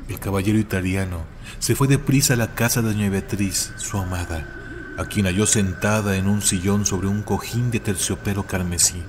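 A man narrating scripted horror legends in a solemn, deliberate way with dramatic emphasis. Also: sits low, background noise. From Mexico.

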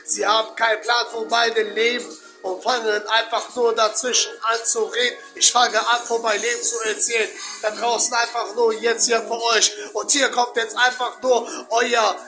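Young man, high voice, boastful freestyle rap, has a cold, loud beat underneath. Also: level tone, normal speed, over music. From Germany. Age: young adult. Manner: boastful, repetitive rhymes. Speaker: amateur rapper. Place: dark bedroom at night. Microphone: webcam at close range. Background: loud backing beat.

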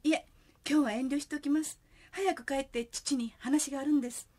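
A woman's voice: high-pitched, fairly even intonation, brisk, darkish tone, lightly acted. A woman playing a polite, reserved daughter in a comic radio drama. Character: polite young daughter, repeatedly declining invitations.

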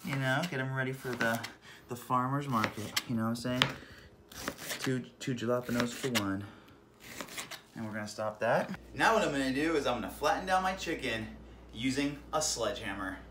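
A man's voice: mid-range voice, normal speed, background noise. Jokey bearded man in a roomy kitchen. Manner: jokey, swears, keeps saying "freaking". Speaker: home cooking show host. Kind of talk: goofy cooking demonstration. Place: small home kitchen, indoors. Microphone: camera mic from a few feet away.

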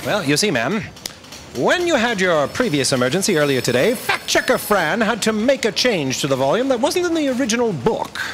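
An actor playing an intrepid, courteous space detective, explaining things calmly in a staged audio drama. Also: male voice, sits high, a little fast, heavily acted. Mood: calm, deductive. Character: intrepid male space detective, calm and polite. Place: theatre stage, indoors. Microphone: stand microphone. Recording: live stage recording with hiss.

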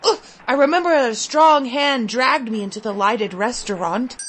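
A woman reading a literary story in the first person, expressive, vivid and deliberate. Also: a little high, a little hiss or hum, low-quality recording. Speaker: story reader.

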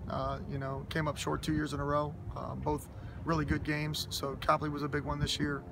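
A gravelly man answers interview questions outdoors, steady in tone and rather flat in melody. He sounds earnest and humble, starting over and padding his answers with fillers, while traffic hums behind him. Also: a little high, moderate pace. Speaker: high school football head coach. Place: outdoors by a school stadium. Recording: outdoor noise and passing traffic.

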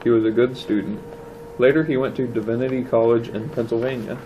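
A man reading a children's book aloud in a plain, measured manner. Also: medium pitch, steady tone, mellow, poor audio. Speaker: storybook reader. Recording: faint background noise.

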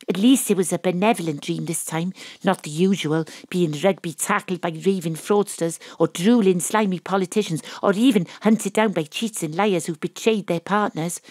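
A woman's voice: mid-range voice, a little mellow, no echo, close to an everyday voice. A woman playing a wry, poetic private detective with a Welsh accent, narrating an audio drama in a theatrical, literary way. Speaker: narrator and lead character. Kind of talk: first-person audio drama narration and acting.

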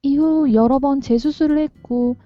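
A woman's voice: sits high, fairly even intonation, normal speed. A woman reading a visual novel heroine's dialogue aloud on a game stream. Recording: dull lo-fi voice chat with music.

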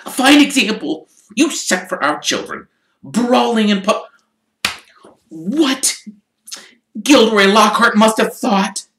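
A man reading aloud and voicing a furious middle-aged mother witch who scolds in a high, shrill voice. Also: lively intonation, slow pace, long pauses, background noise. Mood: scolding. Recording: echoey room.

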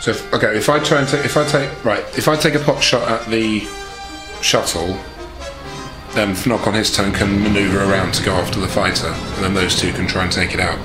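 Male tabletop player, casual, low voice, roomy sound, music behind. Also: brisk. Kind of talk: casual game table chat.

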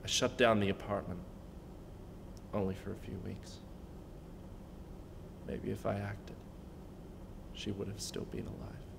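A man's voice: lowish voice, level tone, a little slow, creaky, long pauses, in a large hall, background noise. A young man playing a somber young landlord who narrates in a subdued, reflective way. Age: young adult. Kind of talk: narration and dialogue.

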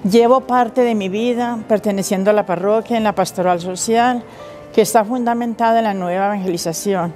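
A woman's voice: mid-range voice, fairly quick, darkish tone, soft background music. An older woman from Colombia with a Colombian Spanish accent, a lay minister of the parish, speaking plainly and earnestly to camera in a church. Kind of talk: testimony to camera. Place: inside a church, before the altar.

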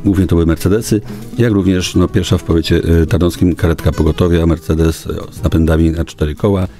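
A middle-aged man giving formal, halting answers as a local official guest in a small radio studio, with many hesitations. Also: low voice, fairly even intonation, dark tone, faint music behind. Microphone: studio boom microphone.

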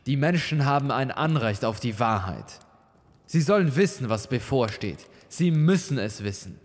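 A man's voice: medium pitch, expressive, normal speed, long reverb tail, voice pushed up, natural voice. A man voicing a defiant, principled older cardinal in an audio story, speaking in a firm, solemn, oratorical way. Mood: impassioned. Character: defiant, principled cardinal of advanced middle age. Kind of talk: dramatic audio story dialogue.

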